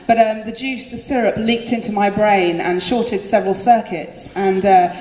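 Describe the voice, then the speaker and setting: A woman's voice: mid-range voice, fairly even intonation, normal speed, a little mellow. A woman performing spoken word live, chatty and self-deprecating, often laughing between lines. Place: live concert venue. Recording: echoey hall with faint room noise.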